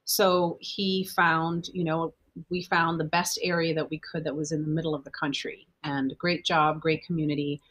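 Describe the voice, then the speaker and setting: A woman's voice: low-pitched, level tone, normal speed, a bit bright. A woman from Guyana, a physician guest, telling chatty personal stories with fillers. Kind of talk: casual interview chat.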